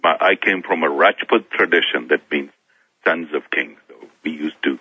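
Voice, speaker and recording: A middle-aged man from Kenya, a guest on a talk show, telling his own story in a halting way, often restarting his words. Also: a bass voice, slightly rough. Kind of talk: personal testimony in a talk show interview. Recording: thin phone-like sound, dry.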